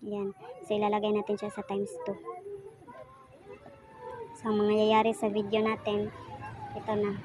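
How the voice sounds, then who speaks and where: A woman with a Filipino accent giving a casual step-by-step tutorial in a halting, self-correcting way, like someone demonstrating as she goes. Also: medium pitch, completely flat delivery. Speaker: tutorial presenter. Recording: noisy home recording with animal sounds.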